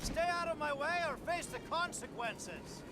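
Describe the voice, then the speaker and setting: An actor playing a menacing, exasperated villain in a spy video game, threatening in a strained voice. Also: male voice, extremely high pitch, expressive, a little slow, very noisy. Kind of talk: video game cutscene acting.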